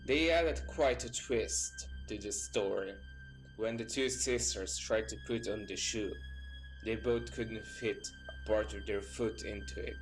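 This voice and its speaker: A man's voice: lowish voice, wildly swooping pitch, unhurried, rough recording. A man reading scripted, documentary-style narration as a storyteller. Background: background music.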